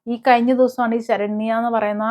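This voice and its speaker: Lady doing opinionated video commentary, highish voice, stops and starts with little hums, kinda muffled lo-fi audio. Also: little pitch movement, brisk, a little mellow. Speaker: host of a gossip and commentary video channel. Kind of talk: casual opinionated news commentary to camera.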